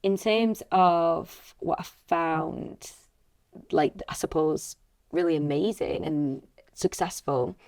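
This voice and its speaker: A woman with a slightly husky voice, talking casually and reflectively as a voice actress on a podcast. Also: low voice, slow, a little mellow.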